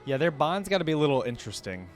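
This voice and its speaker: A man's voice: fairly high pitch, big pitch swings, rapid-fire, faint music behind. A man hosting a reaction video, giving casual, rambling, conversational commentary.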